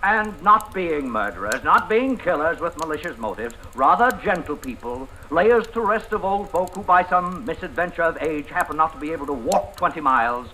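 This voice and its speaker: An actor playing a stern, sarcastic interrogator in an old radio courtroom drama, with pointed, deliberate questions. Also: male voice, a little high, lively intonation, pushed and bright. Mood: sardonic, accusing. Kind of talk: 1950s radio drama acting. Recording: noisy old radio broadcast recording.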